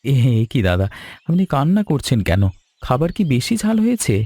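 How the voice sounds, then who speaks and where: A man voicing a caring, eager weaver and devoted host in an animated story, with an earnest, pleading manner. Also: mid-range voice, fairly quick, dry recording. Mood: concerned. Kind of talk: Bengali animated story dialogue.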